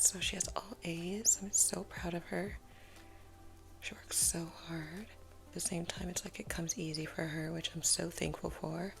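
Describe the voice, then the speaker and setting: A woman in her thirties, sleepy and just up, chatting casually in a soft whisper while doing her makeup at home. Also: fairly low pitch, gravelly. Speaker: vlogger. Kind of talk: soft-spoken whispered get-ready-with-me vlog.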